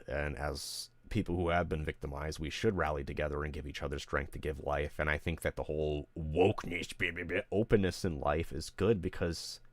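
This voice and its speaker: A man's voice: extremely low pitch, no room sound. A streamer man ranting candidly to his live audience, rambling, emotional and full of swearing. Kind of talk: candid venting on a livestream.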